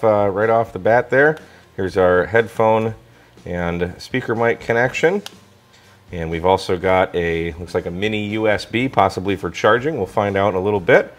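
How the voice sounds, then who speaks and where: A man with an American accent giving a casual hands-on gadget review in an enthusiastic, colloquial way. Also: fairly low pitch. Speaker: product reviewer.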